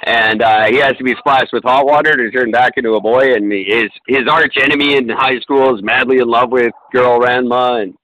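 Rambling chatty man, bright voice. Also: medium pitch, steady tone, normal speed, hardly any pauses, lo-fi sound. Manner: rambling, self-deprecating, many fillers and restarts. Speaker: podcast co-host. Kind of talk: casual chat.

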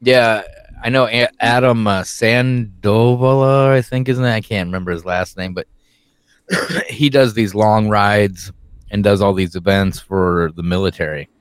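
A very deep-voiced man talking as a podcast guest, easygoing and relaxed. The recording is dry and close, with no room sound. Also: a little slow, clean tone, no echo. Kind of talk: casual chat.

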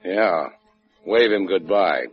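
An actor playing a cool, sardonic American adventurer and hotel keeper in a radio drama, wry and laconic. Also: male voice, low-pitched, lively intonation, slow pace, soft background music. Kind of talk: 1950s radio drama acting. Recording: dull lo-fi old radio recording.

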